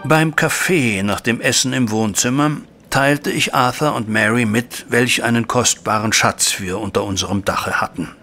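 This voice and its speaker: A middle-aged widowed banker in an audio drama, worried and disappointed as a father. His mid-pitched voice has a gravelly creak. He tells his account formally and deliberately, over music. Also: bright, forceful tone. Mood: grave, agitated. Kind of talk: German audio drama acting, recounting events. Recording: music bed behind voice.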